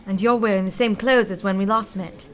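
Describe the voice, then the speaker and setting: A woman playing a poised, tender young sweetheart in a radio drama, gently teasing. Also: medium pitch, steady tone, brisk, close to an everyday voice.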